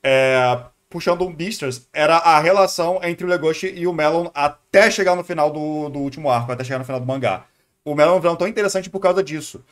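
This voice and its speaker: A man's voice: on the high side, fast talker. A man with a Brazilian accent giving casual, slangy, argumentative reaction commentary as a streamer.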